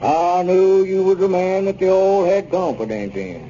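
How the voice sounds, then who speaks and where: An actor playing a puffed-up, wheedling small-town swindler squire with a rural Southern American accent, giving a folksy sales pitch in a 1930s radio comedy. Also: male voice, moderate pace, mellow, natural voice. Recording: muffled 1930s radio recording, faint noise.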